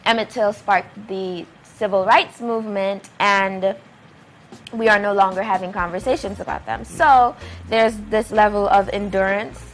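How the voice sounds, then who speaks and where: A woman's voice: mid-range voice, fairly even intonation, measured pace, soft background music. A woman speaking as an interview guest, explaining her thoughts earnestly in a conversational way. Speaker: guest, playwright and director.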